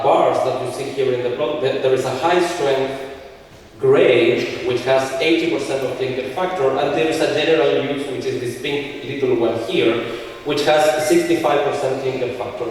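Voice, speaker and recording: A man's voice: mid-range voice, darkish tone, distant mic, a little hiss or hum. Man lecturing in an echoey hall. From Chile. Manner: explanatory, walks through slides with frequent restarts. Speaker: conference speaker. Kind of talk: technical conference talk.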